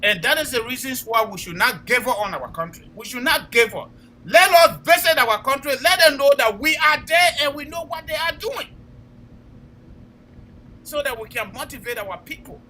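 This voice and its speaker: A man's voice: very high-pitched, lively intonation, moderate pace, bright, forceful tone, a little hiss or hum, poor audio. A man with a Liberian accent giving impassioned political commentary, speaking emphatically and exhorting listeners with repeated phrases. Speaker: co-host of a political talk show.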